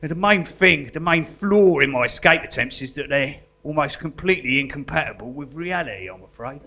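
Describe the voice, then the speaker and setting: Man playing high-pitched, fretful artist prisoner. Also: expressive, brisk, bright, forceful tone, slight background noise. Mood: sheepish, confiding. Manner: stammering, hesitant, coaxing. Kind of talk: live stage comedy acting. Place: live performance venue.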